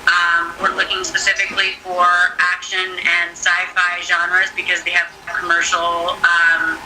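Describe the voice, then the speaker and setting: A film producer talking business on a video call in a matter-of-fact, brisk way. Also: mid-range voice, monotone, recorded from a distance, music in the background, rough recording.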